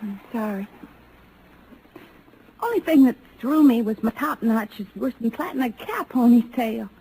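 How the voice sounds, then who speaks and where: A woman's voice: on the high side, lively intonation, measured pace, mellow, rough recording. An actress playing a prickly, proud young frontier woman with blunt rustic speech in an old-time radio Western. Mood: shy, awkward. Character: rough-edged, prickly young frontier cowgirl, shyly proud. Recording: vintage radio recording with faint noise.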